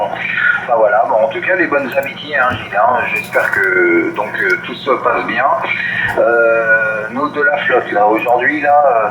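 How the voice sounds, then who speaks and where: Guy chatting on cb radio, loud static, echoey, hesitant, keeps repeating words. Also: mid-range voice, highs cut off. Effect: CB radio transmission. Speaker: CB radio operator. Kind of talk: casual radio chat. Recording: very noisy echoey CB radio signal.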